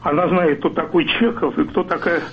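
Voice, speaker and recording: A man's voice: on the high side, fairly even intonation, fairly quick, slight background noise. Ceremonious male caller on a dull line. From Moscow. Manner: ceremonious, hesitant, many ums. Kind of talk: radio call-in.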